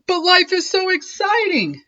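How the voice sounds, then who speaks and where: A woman's voice: very high voice, unhurried, dull audio, pitched above the performer's own voice, heavily acted. A woman voicing an exuberant, well-meaning young boy shark in a children's storybook, with eager exclamations. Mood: excited, loud. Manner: bellowing, over-excited exclamations.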